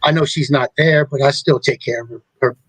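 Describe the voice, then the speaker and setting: A middle-aged man hosting a talk show, speaking in a rambling, halting way with many restarts. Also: mid-range voice, fairly even intonation, a bit bright, slightly rough, no room sound, rough recording. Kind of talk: casual chat.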